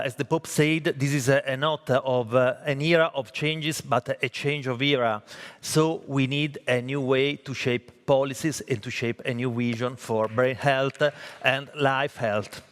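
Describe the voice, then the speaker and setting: A man with an Italian accent moderating a conference session, hesitant and full of fillers and restarts. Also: mid-range voice. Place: conference hall.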